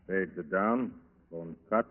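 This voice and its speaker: An actor playing a polite, cool-headed armed burglar in 1940s radio style, speaking courteously and unhurriedly. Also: male voice, sits low. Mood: calm, chatty. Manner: courteous, unhurried, chatty while menacing. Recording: muffled 1940s radio broadcast recording.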